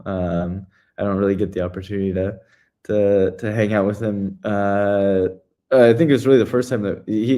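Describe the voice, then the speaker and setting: A low-voiced man gives an informal recap as co-presenter of a community meeting. He speaks hesitantly and haltingly, piecing his phrases together loosely as he goes. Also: darkish tone. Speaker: community town hall co-presenter.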